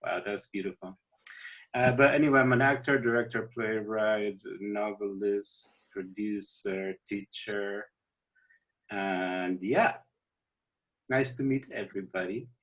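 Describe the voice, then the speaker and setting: A man who acts and directs, introducing himself in a lively way on a video call. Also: fairly low pitch, slow pace, rough recording. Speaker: actor and director.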